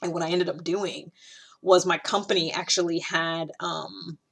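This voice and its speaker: A woman's voice: lowish voice, flat delivery, moderate pace, gravelly, fairly bright tone, husky. A woman in her thirties from Ohio, talking casually on her podcast in a rambling way, full of restarts and fillers. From Ohio, USA. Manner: chatty, heavy use of "like", frequent restarts. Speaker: podcast host. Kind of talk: casual personal monologue.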